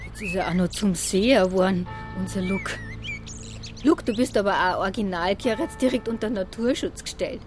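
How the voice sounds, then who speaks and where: An actress playing a quick-witted, middle-aged Bavarian shopkeeper with a dry, sharp tongue in a radio drama. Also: female voice, mid-range voice, over music, natural voice. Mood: mocking banter. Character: quick-witted, thick-skinned female small-town shopkeeper. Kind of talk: radio drama acting in dialect.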